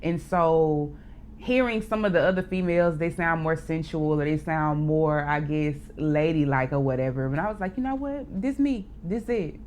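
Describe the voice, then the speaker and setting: A young woman with a deep voice, chatting candidly as an interview guest, filling her talk with "like" and "um". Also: moderate pace, a little hiss or hum. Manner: relaxed, candid storytelling with frequent "like" and "um". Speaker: interview guest, poet and rapper. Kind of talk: casual interview chat.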